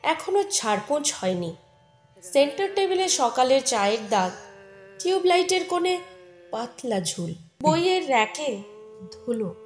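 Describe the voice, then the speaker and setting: A young married woman telling her own story in a high, very animated voice. Her is intimate and emotional, flustered beneath the surface. Music plays faintly behind this audio drama. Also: unhurried, long pauses. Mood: reflective. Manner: expressive, emotional, intimate first-person telling. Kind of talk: dramatised short-story narration with acted dialogue. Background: soft music.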